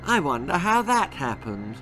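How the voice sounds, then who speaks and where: A man's voice: fairly high pitch, very animated, measured pace, sharp tone, voice pushed up, full character voice. A man voicing a sly, spiteful schoolboy rival who fakes charm, over faint music in a storybook reading. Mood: smug. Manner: silky, mocking charm.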